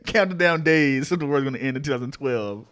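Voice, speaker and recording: A man's voice: mid-range voice, a little fast, a bit bright, a little hiss or hum. A man in his twenties co-hosting a podcast, telling stories, swearing and laughing often. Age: 20s. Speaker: podcast co-host. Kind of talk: casual chat.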